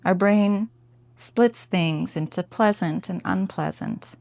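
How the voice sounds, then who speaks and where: A woman leads a guided meditation in a low voice with plenty of gravelly fry. She takes her time between phrases and speaks gently and informally, encouraging the listener. The sound is close and dry. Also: takes it slowly, no room sound. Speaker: meditation teacher.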